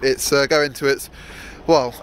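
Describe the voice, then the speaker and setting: A man's voice: mid-range voice, steady tone, unhurried, slight background noise, poor audio. A young man vlogging casually from his workshop yard, rambling with many fillers and restarts. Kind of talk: casual vlog narration.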